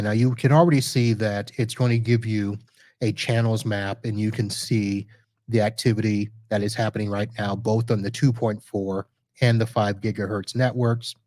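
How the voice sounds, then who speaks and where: A man hosting a podcast, casually walking through a software demo live while sharing his screen, chatty and correcting himself as he clicks around. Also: lowish voice, dry recording. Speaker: podcast host reviewing network gear.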